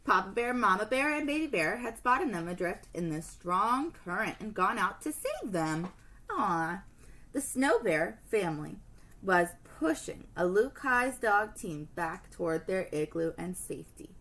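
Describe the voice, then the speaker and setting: A woman teacher reading a storybook aloud to children in an expressive storytelling manner. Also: mid-range voice, unhurried, a little hiss or hum.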